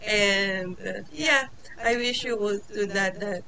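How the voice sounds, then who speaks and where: Filipino woman in her forties, unhurried informal class talk, hesitant with lots of fillers, crowd murmur behind. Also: mid-range voice, vocal fry. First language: Tagalog. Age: 40s. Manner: hesitant, many fillers and restarts, laughs often. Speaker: teacher. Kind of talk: informal lecture.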